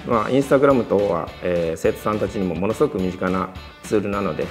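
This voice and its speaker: A man's voice: lowish voice, expressive, brisk, faint music behind. A middle-aged man giving polite, somewhat hesitant interview remarks as a company representative. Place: school meeting room indoors.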